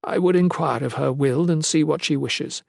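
A man's voice: fairly high pitch, normal speed, creaky, a little mellow, slightly rough, no echo, clean studio sound, pitched above the performer's own voice, exaggerated voice. Resolute, determined mother in a fantasy audiobook man reading. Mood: guarded. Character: determined, self-sacrificing mother, a resolute woman.

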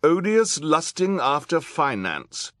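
A man plays an earnest woman judge in a storybook. The voice is lifted high and swings widely, emphatic, indignant and distressed, in a dry recording. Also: big pitch swings, takes it slowly, a bit bright, no echo, full character voice.

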